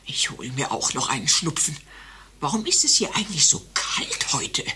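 A man's voice: a little high, sing-song, moderate pace, sharp tone, slightly rough, full character voice. An actor playing a blunt, scornful, sardonic man in a satirical radio play. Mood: grumbling, sardonic.

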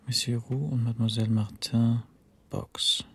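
Careful informant reading out slowly, radio play, very breathy creaky voice. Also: male voice, mid-range voice, flat delivery, a bit bright. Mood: careful, subdued. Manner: slow, deliberate dictation. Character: dutiful male informant slowly dictating a list by phone. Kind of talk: German radio drama acting.

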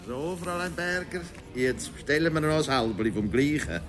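A man's voice: on the high side, animated, moderate pace, music in the background, natural voice. An actor playing an educated, talkative man in a radio drama, speaking Swiss German in a knowing way. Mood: jovial. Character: educated, talkative man, courting but quick to bristle.

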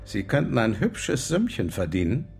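An actor playing a slick, pushy intelligence agent in a radio drama, breezy and gravelly. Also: male voice, medium pitch, wildly swooping pitch, normal speed, over music. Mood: smug, persuasive.

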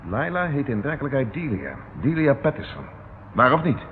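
An actor playing a stern, impatient police inspector in a radio play, speaking in a dry, businesslike way. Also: male voice, medium pitch, very animated, moderate pace, close to an everyday voice. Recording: muffled, noisy old radio recording.

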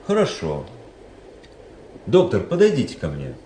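An actor playing a young Russian officer at a duel, coldly composed, deliberate and formal in radio drama style. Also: male voice, fairly low pitch, huge pitch swings, warm tone. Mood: pointedly formal. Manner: deliberate, coolly formal, commanding. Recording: echoey, noisy old radio recording.

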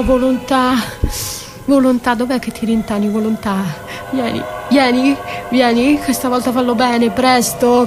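An actress playing a battered, dreamy and desperate wife in a poetic theatrical radio monologue, halting and repeating her words. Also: on the high side, natural voice. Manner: halting, repeating words, swinging from murmur to cries. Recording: music under the voice. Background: music.

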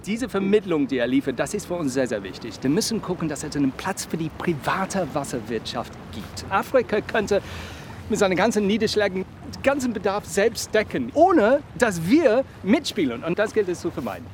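An actor in a radio drama playing a smug, boastful water company boss who takes glee in profit and talks haltingly. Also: male voice, high voice, very animated, a little hiss or hum. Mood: smug, candid. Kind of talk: radio drama interview acting.

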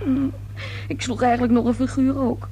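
A woman playing an anxious young daughter, high-pitched. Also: level tone, normal speed, warm tone, natural voice. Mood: embarrassed, hesitant. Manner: stammering, defensive, pleading. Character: anxious, guilt-ridden young daughter confessing a secret date. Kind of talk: radio play acting. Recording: noisy old radio play with hum.

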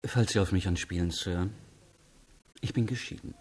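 Reserved teacher in his thirties, radio crime play: very low, husky, restrained answers. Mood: stiff, defensive. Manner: measured, restrained, short answers. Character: reserved schoolteacher in his early thirties, guarded suspect. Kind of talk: German radio crime drama acting.

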